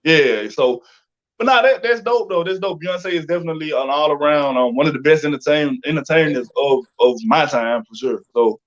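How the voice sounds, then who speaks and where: A man hosting a casual interview, speaking in a halting, conversational way with backtracking. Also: fairly high pitch. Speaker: interview host. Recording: dull, muffled lo-fi audio.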